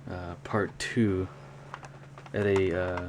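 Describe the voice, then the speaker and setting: A man talking casually and unscripted in a hobby show-and-tell vlog, with a rambling, halting delivery. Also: deep voice, level tone, darkish tone. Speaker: hobby vlogger, cassette collector. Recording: clear background noise.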